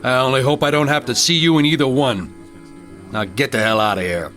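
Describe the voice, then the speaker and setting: An actor playing a stern, plain-spoken western sheriff in a radio drama, blunt and authoritative, with an American accent. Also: male voice, mid-range voice, fairly quick, a bit bright, faint music behind, lightly acted. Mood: scornful, stern.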